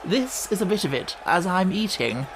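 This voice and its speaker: A man's voice: high voice, very animated, moderate pace, noisy background, voice pushed up. A man voicing a glum storybook hatter who talks in a choking voice through a mouthful. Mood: choked, glum.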